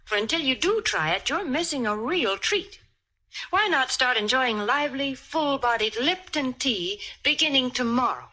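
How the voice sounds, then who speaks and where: A woman announces for a sponsor in 1940s radio style. Her voice is high, bright and animated, with a chirpy, persuasive selling manner. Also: moderate pace. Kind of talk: 1940s radio commercial pitch.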